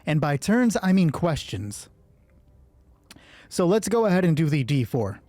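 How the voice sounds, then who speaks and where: Male game master: slow, deliberate storytelling, dark crisp voice, solo tabletop narration. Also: fairly high pitch, very animated, dry recording.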